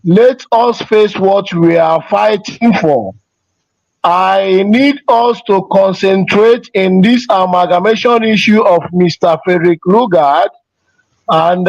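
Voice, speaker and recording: Slow, high-voiced man pleading earnestly. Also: no echo. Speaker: caller. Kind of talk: apologetic phone-in call. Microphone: online call. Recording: dry, dull call audio.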